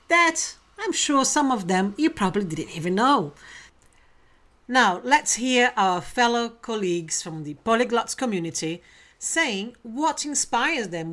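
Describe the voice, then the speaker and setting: A woman presenting a program in a medium-pitched voice. She narrates and welcomes her guests, and her voice lifts upward on words she wants to stress. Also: fairly bright tone. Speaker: host.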